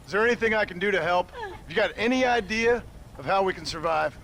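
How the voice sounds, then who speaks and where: A man's voice: high voice, fast talker, fairly bright tone, low-quality recording. A man playing a weary, sardonic gunslinger with a drawl in a video game cutscene. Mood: concerned, firm. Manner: dry, sarcastic, polite drawl.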